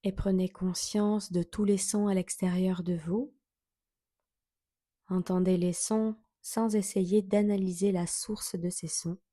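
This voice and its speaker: Woman, meditation instructor, mellow tone, dry close sound, measured soothing guidance, long pauses. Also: medium pitch, steady tone, no room sound. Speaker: yoga nidra instructor. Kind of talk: guided relaxation meditation, spoken instructions.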